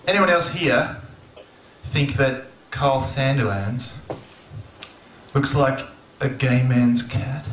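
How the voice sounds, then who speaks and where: A man's voice: medium pitch, takes it slowly, long pauses, fairly bright tone. A man doing stand-up comedy, recorded live in an echoey, noisy venue.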